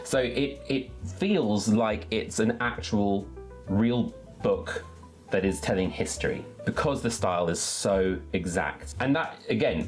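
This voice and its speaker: A man hosting an enthusiastic book talk, articulate and wordy, with emphatic phrasing. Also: on the low side. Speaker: book reviewer and readalong host.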